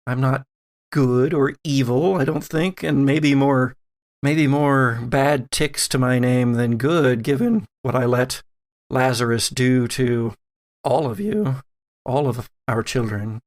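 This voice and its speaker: A man playing a quiet but steely old mother and grandmother in a tabletop horror game, speaking in a measured, firm way. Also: mid-range voice. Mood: frank.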